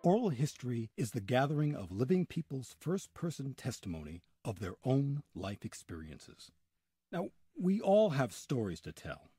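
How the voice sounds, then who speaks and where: A man's voice: medium pitch, very animated, moderate pace, warm tone, soft background music. A man narrating scripted history in an old-time radio storytelling style, with dramatic emphasis.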